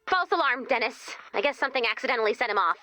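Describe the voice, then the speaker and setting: A woman's voice: a little high, animated, fast, pushed and bright, no room sound. A woman voicing a quirky, chirpy and playful commander character in a video game. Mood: upbeat, wry.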